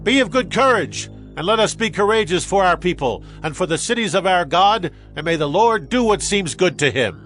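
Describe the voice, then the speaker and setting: An actor playing a bold, resolute army commander in a dramatized audio Bible, with emphatic, declamatory delivery over music. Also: male voice, high-pitched, lively intonation, normal speed, hardly any pauses, a bit bright. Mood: rousing.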